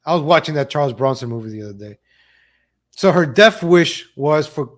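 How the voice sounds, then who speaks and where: A man's voice: fairly high pitch, fairly bright tone. A man hosting a live stream of political gossip commentary, mocking and sarcastic, repeating phrases for emphasis.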